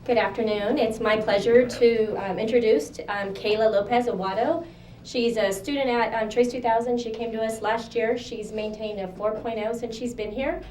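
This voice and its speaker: A woman's voice: fairly high pitch, fairly even intonation, normal speed, darkish tone. A woman from the school staff introducing a student at a ceremony, hesitant with frequent ums. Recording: echoey hall from far off, faint noise.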